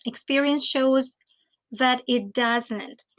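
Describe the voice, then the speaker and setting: A woman speaks as a teacher trainer giving a talk. Her voice sits a little high. She moves slowly and deliberately, often stopping on "um" and "uh" before going on. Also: a bit bright. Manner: slow, thoughtful, with many hesitations.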